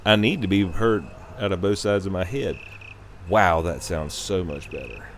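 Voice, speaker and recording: A man hosting a podcast, talking casually on his own in a halting, rambling way. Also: low-pitched, a little hiss or hum. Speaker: podcast host. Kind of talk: casual solo podcast talk.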